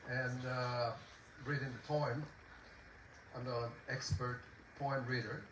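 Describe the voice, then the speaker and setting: A man's voice: medium pitch, fairly even intonation. A man from Puerto Rico with a Puerto Rican Spanish accent, speaking hesitantly to a concert audience. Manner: hesitant, halting, with many fillers. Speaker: composer and pianist. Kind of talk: informal spoken talk to an audience. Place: outdoor garden concert. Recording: distant echoey outdoor recording with noise.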